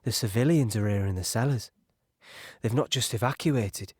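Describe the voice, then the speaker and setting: An actor playing a sombre, conscience-troubled British soldier, giving an earnest, reflective first-person account in a wartime audio play. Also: male voice, mid-range voice, moderate pace, darkish tone, breathy edge, no echo, studio quality, close to an everyday voice. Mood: tense, anguished.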